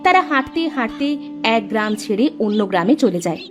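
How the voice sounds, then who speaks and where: A woman's voice: a little high, sing-song, fast. Woman narrating a children's story over music.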